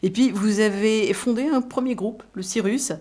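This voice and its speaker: A woman's voice: mid-range voice, normal speed, mellow. A woman hosting a radio show, asking questions conversationally and often adding short acknowledgements.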